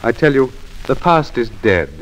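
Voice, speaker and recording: Complacent country squire male actor, mid-pitched with lively swings, polite and proper, old radio drama. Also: moderate pace, close to an everyday voice, low-quality recording. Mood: soothing. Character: genteel, complacent country gentleman, husband, easily indignant. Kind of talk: 1940s radio drama acting. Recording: old radio recording with steady hiss.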